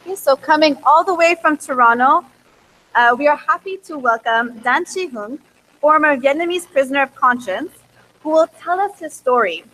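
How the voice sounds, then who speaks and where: A woman's voice: sits high, lively intonation, normal speed, bright, forceful tone, poor audio. A woman hosting a conference who introduces speakers in hesitant, stop-start speech full of fillers. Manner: hesitant, many fillers and restarts. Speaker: event host. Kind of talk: bilingual event introduction. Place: conference room.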